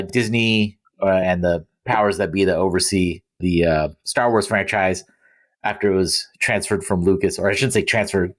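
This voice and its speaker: A man's voice: lowish voice, fairly bright tone. A man hosting a podcast in a casual, conversational way with joking asides. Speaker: podcast host. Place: remote recording.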